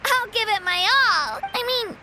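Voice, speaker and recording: A woman's voice: extremely high pitch, lively intonation, unhurried. A woman voicing an earnest, plucky, modest young girl fighter in a video game, with a sweet childlike voice. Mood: upbeat, determined. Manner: earnest, polite. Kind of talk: video game character acting.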